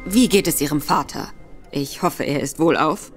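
A woman voicing a sly, affable gentleman in disguise who probes with feigned forgetfulness, in a radio play. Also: low-pitched, normal speed, faint music behind, pitched below the performer's own voice, natural voice. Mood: probing, sly. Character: smooth gentleman Virgil Barker, a man, sly and probing.

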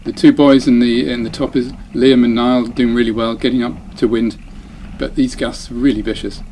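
A man's voice: mid-range voice, fairly even intonation, normal speed, dark tone, a little hiss or hum, low-quality recording. Chatty man commenting on a race. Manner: chatty, self-deprecating, breaks off mid-phrase. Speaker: dinghy racing sailor. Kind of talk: voice-over commentary on race footage.

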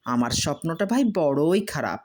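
A woman's voice: deep voice, animated, moderate pace, a little mellow. A woman voicing a crafty male traveller in a storybook, plaintive with feigned innocence. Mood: mock-sorrowful.

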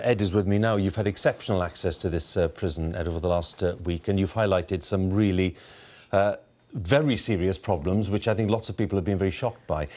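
A man's voice: low voice, darkish tone. A man, a studio news anchor introducing and questioning a correspondent.

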